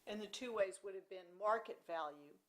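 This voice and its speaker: A woman's voice: medium pitch, a little fast, fairly bright tone. A woman interviewing for an oral history project, asking short clarifying and prompting questions.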